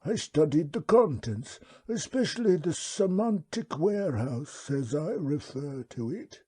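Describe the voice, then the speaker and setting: A man voicing a ponderous, courteous old alien creature that talks slowly and formally. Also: on the high side, lively intonation, mellow, breathy edge, pitched above the performer's own voice. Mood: calm, polite. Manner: slow, courteous, drawn-out speech. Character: ponderous, polite, ancient pig-like alien creature.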